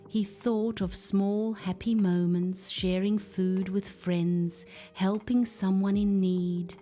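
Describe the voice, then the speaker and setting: A woman's voice: on the low side, warm tone. A woman narrating a scripted moral story for children as a storyteller.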